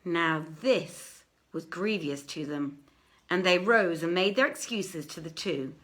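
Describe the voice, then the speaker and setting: A woman narrating an archaic tale as an audiobook reader, in a theatrical, emphatic and deliberate storytelling manner. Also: fairly low pitch, fairly bright tone.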